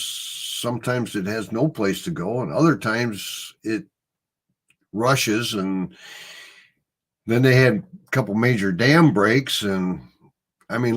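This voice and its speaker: Laid-back Midwestern man, lowish voice. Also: fairly bright tone. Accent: Midwestern American. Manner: easygoing, rambling storyteller, chuckles often. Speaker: host. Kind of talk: casual livestream chat.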